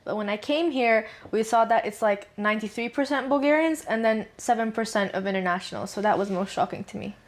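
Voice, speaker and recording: Young female student guest from Dubai, fairly high voice, candid and chatty. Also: fairly quick, hardly any pauses. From Dubai, UAE. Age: young adult. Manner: frank, chatty, laughs easily. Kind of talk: casual interview answers.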